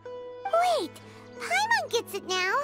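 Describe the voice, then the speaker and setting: A woman voicing a tiny, excitable female fairy companion in a video game, with a high, squeaky voice. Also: slow pace, music in the background, heavily acted. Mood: excited. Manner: excited, talks about herself in third person.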